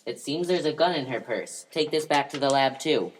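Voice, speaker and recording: A man's voice: mid-range voice, lively intonation, normal speed, slight background noise, close to an everyday voice. A man playing an earnest police detective in an amateur old-time radio mystery. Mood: businesslike. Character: male police detective, earnest partner investigating a murder.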